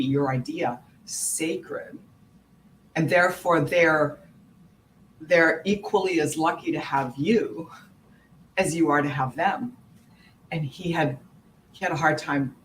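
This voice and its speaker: A woman's voice: low voice, steady tone, slow pace, long pauses, slight background noise, rough recording. A woman hosting an interview, talking in a reflective way and thinking aloud. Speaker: host. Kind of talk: conversational interview.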